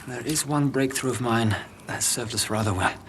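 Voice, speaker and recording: An actor playing a nervous, deferential xenobiologist in a video game cutscene, speaking in a halting, apologetic and courteous way. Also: male voice, medium pitch, noisy background, close to an everyday voice. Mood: awed, humble. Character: meek, nervous, deferential male xenobiologist scientist.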